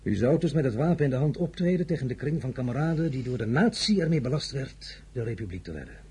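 An actor playing an ambitious, imperious young French general in an audio drama, with emphatic, rhetorical phrasing and a husky voice. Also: male voice, medium pitch, expressive, quick pace, mellow. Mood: persuasive, probing.

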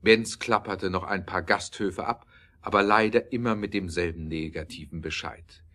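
A man narrating a scripted audio drama in a measured storytelling manner. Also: sits low, pushed and bright.

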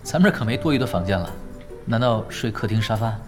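A man acts out an easygoing young pro gamer in an audio drama. His delivery is nonchalant and dryly teasing, with very animated intonation, over clearly audible music. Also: on the low side, quick pace, close to an everyday voice. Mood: wry, amused. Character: laid-back, wry young male pro gamer. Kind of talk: Chinese radio drama acting.

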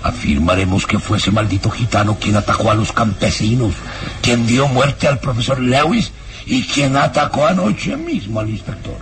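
An actor playing a sinister, commanding baron and cold scheming villain in a melodramatic radio drama, with an imperious, menacing delivery. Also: male voice, mid-range voice, animated, moderate pace, music in the background, natural voice.

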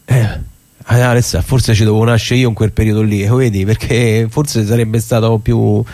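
Eager Roman theatre director, played by a man, talking haltingly. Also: fairly low pitch, level tone, dark tone, lightly acted. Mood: wistful. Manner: halting, repeats words while explaining. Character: eager, persuasive young male theatre director. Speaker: actor. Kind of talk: colloquial theatrical dialogue for radio.

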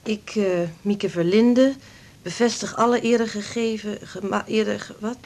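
A woman's voice: medium pitch, fairly even intonation, a little mellow, lightly acted. An actress playing a blunt, hostile young mother in a radio drama, curt and grudging in her delivery. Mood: halting, grudging. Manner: curt, halting when reading aloud.

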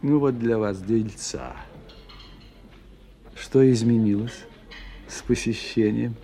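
A man's voice: on the low side, slow pace, mellow. An actor playing an aloof, sceptical male scientist with a dry, precise, detached manner in a radio drama. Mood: probing. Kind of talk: radio play dialogue. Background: music.

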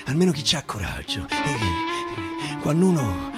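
A man's voice: medium pitch, wildly swooping pitch, slow. A man reciting dialect verse dramatically on radio, musing and repeating phrases for emphasis. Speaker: actor. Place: radio studio. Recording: guitar accompaniment throughout.

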